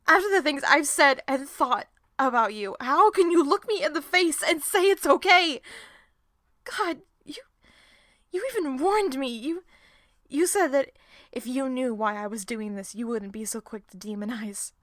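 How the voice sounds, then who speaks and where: A woman acts out a guilt-ridden young woman in an audio roleplay. She speaks slowly and haltingly in a dry, close recording, full of feeling and ready to swear. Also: high voice, a bit bright, no room sound. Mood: anguished, distraught. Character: distressed, guilt-ridden young woman, foul-mouthed, demanding honesty. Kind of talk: audio roleplay drama acting.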